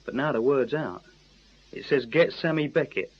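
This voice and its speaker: An actor playing a cocky, scornful English criminal ringleader in a radio drama, slangy and insistent. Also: male voice, medium pitch, lively intonation, moderate pace, breathy edge. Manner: slangy, forceful, persuasive. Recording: dull, lo-fi radio recording.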